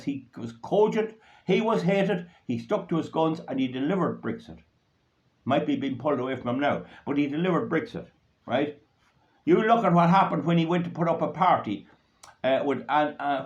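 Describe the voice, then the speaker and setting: An Irish man giving a blunt, rambling political talk to supporters. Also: on the high side. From Ireland. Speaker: political activist. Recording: dull lo-fi recording.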